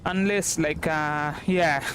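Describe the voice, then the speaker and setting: A man's voice: a little high, steady tone, slow, a bit bright. A young man, casually commentating a game livestream in a rambling way, repeating words. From India. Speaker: streamer. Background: game music.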